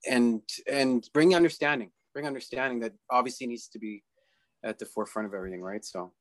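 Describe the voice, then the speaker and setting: A man who works as a voice actor, co-hosting a casual interview in a measured, earnest way. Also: mid-range voice, no room sound. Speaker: co-host, voice actor.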